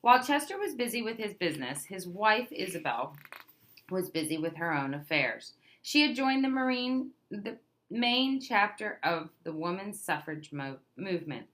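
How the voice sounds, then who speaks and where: Bright-voiced woman reading a children's book. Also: mid-range voice. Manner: expressive storytelling with emphatic stresses and questions to listeners. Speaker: read-aloud presenter. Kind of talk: children's picture book read aloud.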